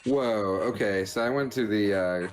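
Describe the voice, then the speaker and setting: A man's voice: on the low side, a little slow. A man co-hosting a game show, chatting casually and jokingly as he comments on the play. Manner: informal, joking. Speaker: video game show co-host. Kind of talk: casual chat commenting on gameplay. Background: game music.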